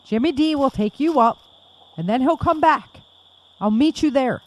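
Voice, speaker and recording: A woman's voice: sits high, moderate pace, close to an everyday voice. An actress playing a tense young woman survivor, speaking urgently. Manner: urgent, expressive.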